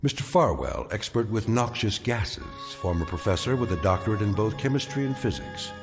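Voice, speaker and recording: An actor narrating an uncanny radio drama anthology in a measured, portentous way. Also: male voice, fairly low pitch, big pitch swings. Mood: ominous. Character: ominous, knowing male narrator of uncanny tales. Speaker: narrator of a mystery anthology series. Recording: long reverb, dramatic music behind.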